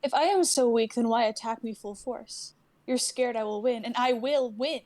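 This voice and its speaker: A woman acts out a female ice warrior in a live fantasy script reading. Her voice is a bit higher than most. She is self-assured and defiant, answering threats with confidence. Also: normal speed, darkish tone, close to an everyday voice.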